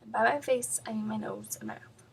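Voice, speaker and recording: A woman's voice: a little high, gravelly, slight background noise. A woman performing a comedic stage monologue as a flustered, wryly self-deprecating grieving daughter, with dramatic swells and drops in her delivery. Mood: horrified, comic. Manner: dramatic, halting emphasis with big swells and drops. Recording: distant mic.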